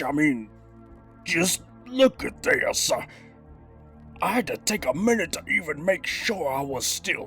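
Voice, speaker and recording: A man's voice: mid-range voice, a bit bright, full character voice. A man voicing a cocky cybernetic gangster villain who hosts a toy review, talking in theatrical, slangy old-time mobster style. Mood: exasperated. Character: cybernetic master criminal gangster, cocky, streetwise action-figure villain. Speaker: host of a toy review show. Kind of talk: in-character scripted review monologue.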